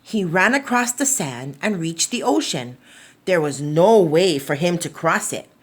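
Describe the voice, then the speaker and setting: Woman reading a picture book, very expressively. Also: fairly low pitch. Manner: expressive, deliberate storytelling for young children. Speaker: preschool storytime reader. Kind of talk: children's picture book read-aloud.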